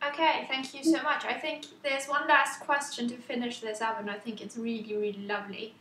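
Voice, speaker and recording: A woman's voice: fairly high pitch, flat delivery, a little fast, sharp tone. A woman moderating a panel discussion, upbeat and friendly. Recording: echoey room, faint hiss.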